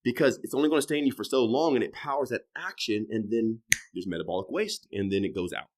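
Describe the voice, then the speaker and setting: Animated thirty-something man, loose and profane. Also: medium pitch, fast talker. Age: 30s. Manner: loose, profane, swinging between loud emphatic bursts and quiet trailing asides. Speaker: podcast guest. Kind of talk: casual conversation.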